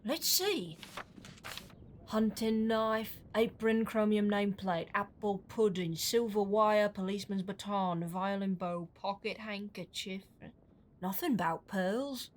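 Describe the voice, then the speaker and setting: A woman's voice: medium pitch, slow, lightly acted. A woman playing an eager street girl. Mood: reading. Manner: eager, lively. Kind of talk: audio drama acting.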